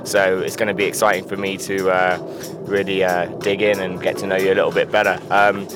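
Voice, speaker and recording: A man's voice: sits low, completely flat delivery, brisk, music in the background. A man hosting a podcast, asking questions in a loose style full of "uh" and "um". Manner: many fillers, loose and friendly questioning. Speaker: podcast host. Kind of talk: casual interview chat.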